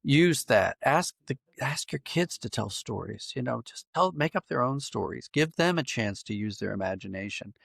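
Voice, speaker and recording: A man answering interview questions conversationally as a writer, thoughtful and halting, circling back to rephrase as he goes. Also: mid-range voice, expressive, gravelly, fairly bright tone, no room sound, studio quality. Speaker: podcast guest, writer and dramatist.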